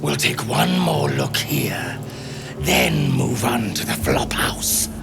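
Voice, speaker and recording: A sinister shapeshifting killer, speaking in terse, menacing bits. The voice is heavily creaky and swings wildly in pitch, over loud music. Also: sing-song, full character voice. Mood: sinister, patient. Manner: slow, terse, menacing phrases. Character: sinister shapeshifting assassin leader, later a rasping speaking corpse. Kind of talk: video game acting. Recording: music behind the voice.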